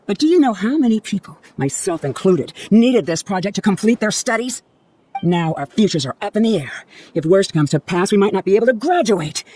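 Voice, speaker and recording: A woman's voice: mid-range voice, lively intonation, brisk, dark tone, husky. A woman playing a resentful, accusing young student in a video game, scornful and dramatic. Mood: bitter, accusing. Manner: scornful, reproachful.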